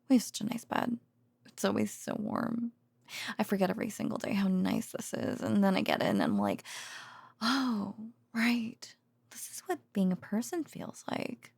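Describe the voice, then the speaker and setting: A woman playing a tired, affectionate researcher girlfriend in an intimate audio roleplay, talking haltingly with sighs and murmured endearments to a sleepy partner. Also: mid-range voice, vocal fry, darkish tone, husky, no echo, full character voice. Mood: cosy, affectionate. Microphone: close, dry studio microphone.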